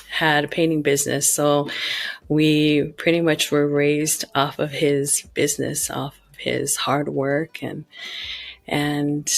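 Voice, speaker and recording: A woman's voice: low-pitched, fairly bright tone. A woman raised in Utah and born in Hawaii, telling her story in a rambling, restart-filled way as a podcast guest. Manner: rambling, many restarts and "you know". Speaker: guest, pageant founder.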